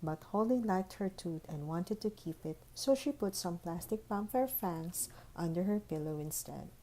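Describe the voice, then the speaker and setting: Female storybook narrator for young children: slightly low pitch, very mellow, soft-edged tone. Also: moderate pace, slight background noise, poor audio. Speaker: school librarian reading aloud. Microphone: phone recording.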